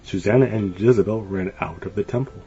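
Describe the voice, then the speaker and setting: Guy with a lowish voice narrating a kids storybook, super expressive, bit lo-fi with music behind. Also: a little fast, a crowd in the background. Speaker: storybook reader. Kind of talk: scripted children's story narration. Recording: dull lo-fi sound. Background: light music.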